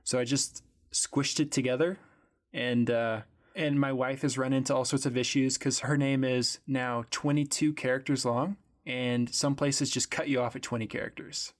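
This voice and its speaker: A man's voice: mid-range voice, fairly even intonation, normal speed. Male podcast host, easygoing and wry, conversational. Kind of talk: casual chat.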